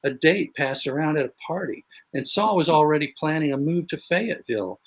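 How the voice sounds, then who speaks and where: Man reading his fiction aloud, measured. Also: fairly high pitch, lively intonation, vocal fry, muffled recording. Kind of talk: prose reading.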